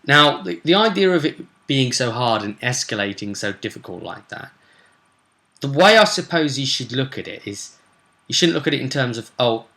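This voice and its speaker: A man's voice: fairly low pitch, gravelly, sharp tone. A man with a British accent giving a casual recap as a game master, explaining in a rambling, colloquial, stop-start way. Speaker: game master recounting sessions. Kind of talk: casual session recap vlog.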